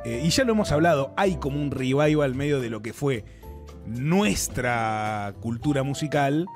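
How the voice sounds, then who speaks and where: Laid-back Argentine man with lively pitch. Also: unhurried, faint music behind. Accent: Argentine Spanish. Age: 30s. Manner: laid-back, slangy, argumentative. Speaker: streaming show co-host. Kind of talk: casual banter.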